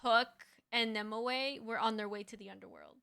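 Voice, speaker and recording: A woman's voice: a little high, fairly even intonation, normal speed, gravelly, bright, forceful tone, clean tone. A woman hosting a casual livestream chat, friendly and upbeat, with a voice that is slightly tired. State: voice slightly raspy from tiring. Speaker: streamer host, VTuber.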